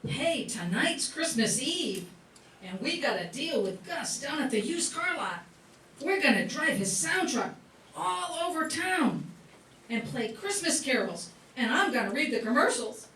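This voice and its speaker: A woman's voice: high-pitched, very animated, measured pace, fairly bright tone, full character voice. A woman playing an eager, pestering teenage boy in an old-time radio comedy. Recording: roomy, distant mic, faint noise.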